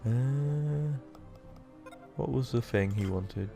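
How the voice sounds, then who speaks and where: A young man with a sore, croaky throat, rambling casually through let's-play commentary with lots of fillers. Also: medium pitch, drawn-out words, warm tone, soft background music. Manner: rambling, self-deprecating, with many fillers. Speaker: gaming YouTuber.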